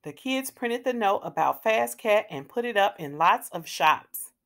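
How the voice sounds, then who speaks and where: A woman narrates a children's storybook at a slow, deliberate pace. Her pitch moves expressively up and down, clearly aimed at young children. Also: expressive. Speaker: children's read-aloud host.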